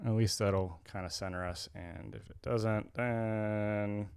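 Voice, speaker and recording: Young male podcast co-host, low and gravelly, rambling and casual. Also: steady tone, slow pace, darkish tone. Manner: rambling, self-correcting.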